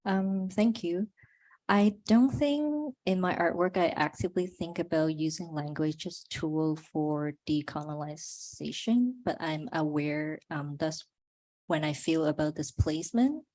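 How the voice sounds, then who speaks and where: A woman from Hong Kong whose first language is Cantonese, an artist answering a question on a panel in a soft, unhurried way with many pauses. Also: low-pitched.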